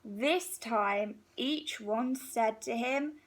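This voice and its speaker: Woman, slightly high voice, husky, storybook read-aloud, expressive, unhurried pauses for young listeners. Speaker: teacher reading a picture book to young children.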